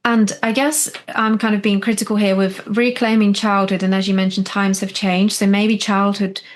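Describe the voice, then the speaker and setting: Flat-voiced woman podcast host. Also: mid-range voice, normal speed. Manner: supportive listener with frequent backchannels. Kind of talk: casual conversation.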